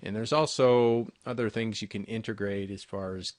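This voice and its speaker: Male product presenter, low register, conversational and slightly halting delivery, close dry sound. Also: fairly even intonation, dry recording. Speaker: intercom company salesperson presenting products. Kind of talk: unscripted product walkthrough over screen recording.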